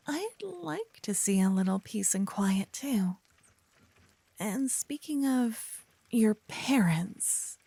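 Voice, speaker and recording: A woman playing an earnest, chatty young paladin girl in an ASMR fantasy roleplay, with soft, expressive, dramatic delivery. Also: medium pitch, creaky, husky, dry recording, exaggerated voice. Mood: confident, chatty.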